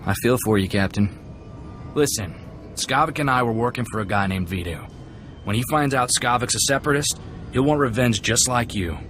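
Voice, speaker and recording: An actor playing a folksy, earnest, hot-headed young gunslinger in a video game. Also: male voice, medium pitch, animated, moderate pace, a bit bright, faint music behind, close to an everyday voice. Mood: frustrated, earnest.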